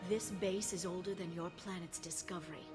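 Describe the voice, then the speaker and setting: A woman's voice: lowish voice, steady tone, a little fast, lightly acted. An actress playing a shrewd, determined female alien scientist in a video game, assured and pointed in her delivery. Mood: cool, taunting. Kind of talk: video game cutscene acting. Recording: loud music behind the voice.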